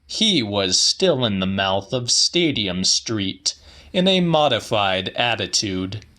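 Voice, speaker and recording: Male audiobook narrator, slow pace, bright forceful voice, theatrical literary reading. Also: lowish voice, sing-song. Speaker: audiobook reader.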